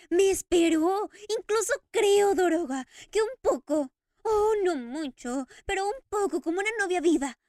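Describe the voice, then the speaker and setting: A woman's voice: high-pitched, darkish tone, dry recording, studio quality. A woman voicing an anguished masked man who talks in impassioned, stammering outbursts between sobs, read as a storybook character. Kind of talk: audiobook character reading.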